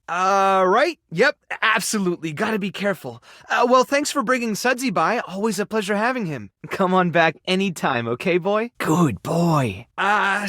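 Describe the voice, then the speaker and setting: An actor playing an earnest, caring male animal rescuer in a video game, gentle, hesitant and easily flustered. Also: high voice, no echo, studio quality. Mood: awkward, flustered. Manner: hesitant, soft-hearted, coaxing. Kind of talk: expressive dramatic game voice acting.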